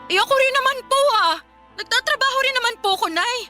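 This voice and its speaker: A woman's voice: extremely high pitch, animated, fast, sharp tone, soft background music, full character voice. A woman playing a young working daughter in a Filipino radio drama, respectful but defiant, protesting politely and pleadingly. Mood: hurt, protesting. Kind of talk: melodramatic radio drama acting.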